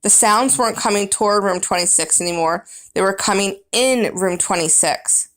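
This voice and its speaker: A woman's voice: mid-range voice, normal speed, a bit bright. A woman reading a children's book aloud as first-person narrator, with dramatic, emphatic storybook phrasing.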